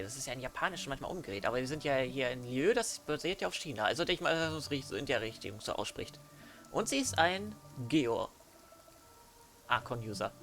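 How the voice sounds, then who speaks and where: A man's voice: mid-range voice, fairly bright tone, slight background noise. A German man hosting a let's play, chatting casually over a game. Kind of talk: casual gaming commentary.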